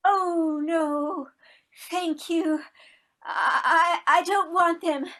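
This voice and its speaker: A very high, bright voice of an old grande dame, played by a woman. She speaks slowly and tremulously, stammering with lovelorn dignity in a theatrical one-act play. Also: full character voice, poor audio. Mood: overwhelmed. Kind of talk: stage acting in an online one-act play.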